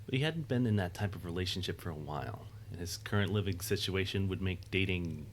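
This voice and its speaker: A man's voice: sits low, fairly quick, slight background noise. A man reading scripted narration for an audio drama.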